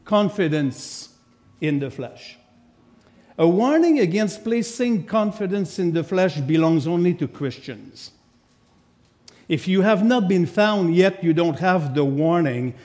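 A man from Quebec with a French Canadian accent, preaching a sermon as a guest speaker in a church, with lively asides to the congregation. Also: a little high. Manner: animated, chatty asides to the congregation. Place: church sanctuary. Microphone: lectern microphone.

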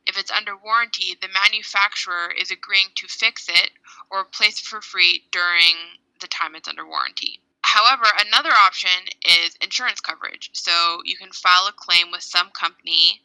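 A young woman from Hawaii co-hosting a podcast, clearly explaining things step by step. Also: lowish voice, sharp tone, no echo, low-quality recording. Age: young adult. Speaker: podcast co-host.

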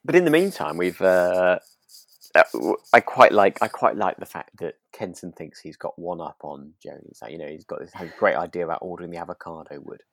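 British male co-host, low register, dry sound, relaxed but halting conversational delivery. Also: gravelly, dry recording. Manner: hesitant, often repeats words mid-sentence. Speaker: podcast co-host. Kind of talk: relaxed chat discussing a radio drama.

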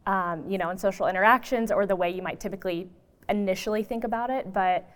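A woman's voice: medium pitch, quick pace. A woman speaking as a discussion guest, reflecting earnestly and conversationally on her views. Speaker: podcast guest.

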